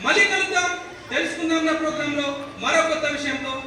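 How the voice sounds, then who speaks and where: A very high-pitched, chatty TV presenter acted by a man in a stage play. The delivery is emphatic and teasing, full of questions to the viewers. It sounds distant, in a very echoey, noisy hall. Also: fast talker, sharp tone, exaggerated voice. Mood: bright, emphatic. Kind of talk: theatrical scripted presentation in a one-act play. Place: echoey hall, voice picked up from afar.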